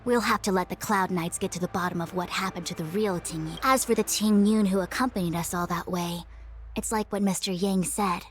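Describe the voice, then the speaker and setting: A woman's voice: on the low side, brisk, close to an everyday voice. A woman voicing a stern, composed official in a video game, speaking formally and with resolve. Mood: resolute.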